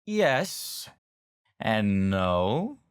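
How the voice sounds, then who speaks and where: A man's voice: on the low side, big pitch swings, very slow, smooth, full character voice. A man voicing a smug villain who loves riddles and jokes. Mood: wry.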